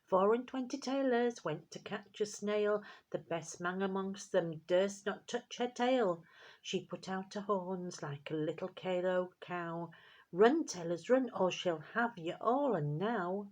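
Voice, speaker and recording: A chorus of tiny, cheeky storybook mice chirps out rhymes in a high, sing-song lilt, as a woman reader puts them on. They tease and twitter, their pitch jumping well above an ordinary speaking voice. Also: fairly even intonation, slow pace, hardly any pauses, darkish tone. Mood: merry singing.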